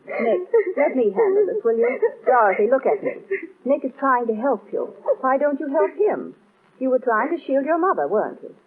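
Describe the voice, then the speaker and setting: An actress playing a clever, witty young wife in an old radio drama, quick and bantering. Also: female voice, sits high, sing-song. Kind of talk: 1940s radio acting. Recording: tinny old radio recording.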